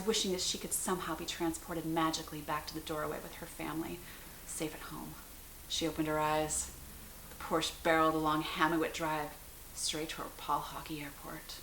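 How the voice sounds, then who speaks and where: Lowish bright-voiced woman, dramatic narration. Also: little pitch movement, normal speed. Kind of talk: dramatic scripted story narration. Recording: roomy echo, hiss in background.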